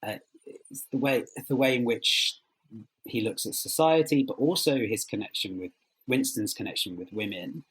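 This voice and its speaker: A man being interviewed as a writer, speaking reflectively and haltingly as he searches for words. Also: mid-range voice, fairly bright tone, slightly rough, low-quality recording.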